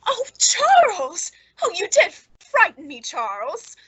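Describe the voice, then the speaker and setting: A woman's voice: extremely high pitch, huge pitch swings, slow pace, fairly bright tone, dry recording, exaggerated voice. A woman playing a cold, gleeful murderess who narrates and speaks in a theatrical radio suspense drama. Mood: feigned surprise.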